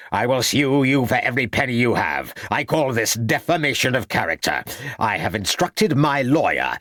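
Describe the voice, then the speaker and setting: A man's voice: low-pitched, dry recording, studio quality, exaggerated voice. A man voicing an angry, blustering doctor in an audiobook, growling and indignant. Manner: growling, snarling, shouted outbursts. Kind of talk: audiobook character acting.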